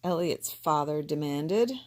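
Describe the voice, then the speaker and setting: A woman reading a children's picture book aloud, with gentle, expressive narration that voices each character. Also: low-pitched, unhurried. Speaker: storybook reader.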